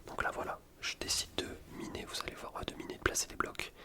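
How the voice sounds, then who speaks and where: A man speaks entirely in a whisper, as in an ASMR gaming video. He comments on gameplay in casual slang, rambling from one explanation to the next. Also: a little fast.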